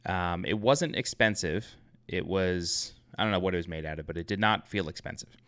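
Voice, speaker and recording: A man's voice: low voice, normal speed, fairly bright tone, clean tone. A man in his thirties from Long Island tells a personal story in a casual, rambling way. He corrects himself often and uses many fillers. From Long Island, New York. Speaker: YouTube channel host.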